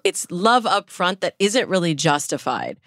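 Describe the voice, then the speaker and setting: A woman's voice: fairly low pitch, very animated, unhurried, a bit bright, no echo, clean studio sound. A woman speaking as a dating coach and interview guest, explaining things conversationally and with emphasis. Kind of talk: conversational expert advice.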